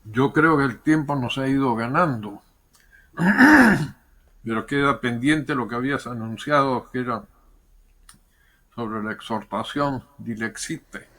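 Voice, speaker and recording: An older man, a historian and diplomat, giving measured, thoughtful interview answers with pauses and repeated words. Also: medium pitch, lively intonation, bright, forceful tone.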